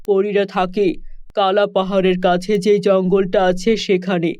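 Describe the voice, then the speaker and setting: A man's voice: high-pitched, level tone, a little slow, darkish tone, smooth, dry recording, muffled recording. A man voicing a wise, solemn royal sage in a children's fairy-tale cartoon, advising formally.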